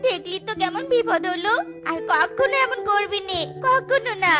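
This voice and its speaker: A woman's voice: very high-pitched, moderate pace, music in the background. A woman voicing a worried cartoon mother who pleads anxiously. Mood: relieved. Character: worried mother, wife of the animal father. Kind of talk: cartoon voice acting.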